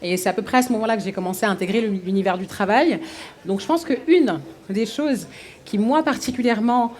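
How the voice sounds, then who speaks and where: A woman's voice: medium pitch, a little fast, a little mellow. A woman from DR Congo moderating a live workshop, asking the audience questions and sharing her own experience. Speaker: workshop facilitator.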